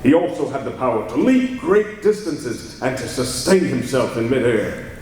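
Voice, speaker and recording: An actor playing an earnest, confiding newspaper reporter hero who tells a story in old radio-drama style. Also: male voice, mid-range voice, big pitch swings, normal speed, a little mellow, natural voice. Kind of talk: 1940s-style radio acting. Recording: distant, echoey hall, faint noise.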